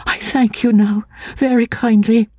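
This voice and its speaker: A woman reader performs a fragile young woman from a classic audiobook, anxious and easily confused. The voice is high, soft and breathy, with hesitant repeats and small stammers, recorded very dry. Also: steady tone, measured pace, no room sound. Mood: vague gratitude. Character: timid, confused young woman, asylum escapee, childlike and anxious. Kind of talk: dramatic audiobook reading of classic literature.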